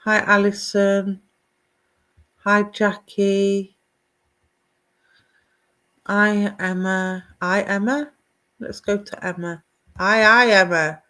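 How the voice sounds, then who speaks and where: A woman's voice: medium pitch, fairly even intonation, extremely slow, pauses often, sharp tone. Affectionate woman giving live psychic readings. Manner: affectionate, calls viewers "my darling"; greets and addresses viewers by name, asks if things make sense. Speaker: psychic medium.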